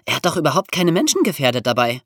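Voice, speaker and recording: A performer playing a lively boy podcast co-host who reacts expressively in casual banter. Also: mid-range voice, fast talker, dry recording, clean studio sound. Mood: surprised, teasing.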